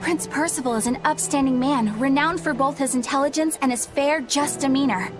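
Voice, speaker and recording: A woman's voice: high-pitched, a little fast, natural voice. An actress playing an earnest, polite young woman exorcist in a video game cutscene. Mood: earnest, wondering. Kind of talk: animated game cutscene acting. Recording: background music over dialogue.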